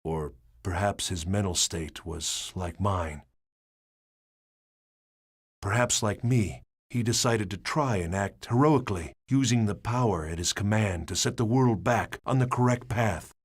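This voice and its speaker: A man acting as a brooding researcher who narrates past events in a measured, solemn way for a game drama. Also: lowish voice, breathy edge. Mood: grave, reflective. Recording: music behind the voice.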